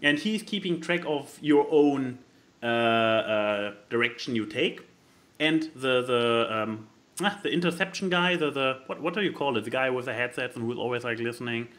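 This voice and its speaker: A man who is not a native English speaker, chatting casually as a podcast co-host and haltingly searching for words as he explains things. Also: mid-range voice, animated, fairly bright tone. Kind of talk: casual chat explaining a board game.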